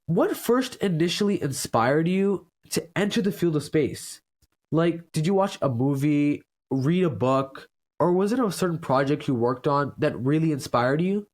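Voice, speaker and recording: A man's voice: a little high, animated, unhurried, a little mellow. A man hosting a podcast, reading a polished scripted intro and asking prepared interview questions. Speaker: podcast host.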